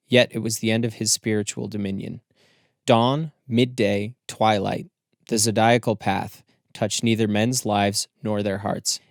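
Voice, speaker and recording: Low, creaky male audiobook narrator. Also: dry recording, studio quality. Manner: measured, formal storytelling. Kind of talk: scripted literary audiobook narration.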